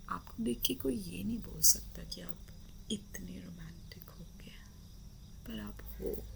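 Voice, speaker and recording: A woman playing a shy, playful, affectionate wife in a romantic roleplay ASMR, speaking in a coy, breathy, drawn-out whisper. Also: mid-range voice, bright, forceful tone, exaggerated voice, poor audio. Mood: adoring.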